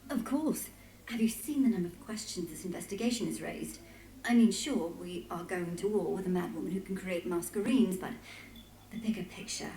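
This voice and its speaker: An actress playing a keen, headstrong historian in a video game, with an inquisitive, insistent manner. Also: female voice, fairly low pitch, normal speed, dark tone, reverberant, close to an everyday voice, rough recording. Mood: eager, insistent. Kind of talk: dramatic video game voice acting.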